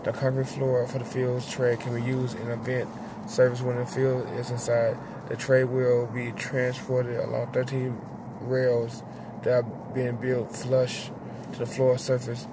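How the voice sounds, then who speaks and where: A man in his forties reading a newspaper article aloud in a parked car, halting and restarting words, with his own asides. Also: medium pitch, completely flat delivery. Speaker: vlogger reading the news aloud. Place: inside a parked car at dusk. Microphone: phone held at arm's length. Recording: phone audio with clear car and road noise.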